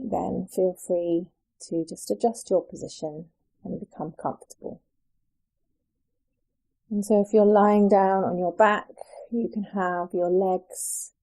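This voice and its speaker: A woman guiding a yoga nidra relaxation as a meditation teacher, speaking in a soothing, gentle, unhurried way with pauses. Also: mid-range voice, creaky, rough recording.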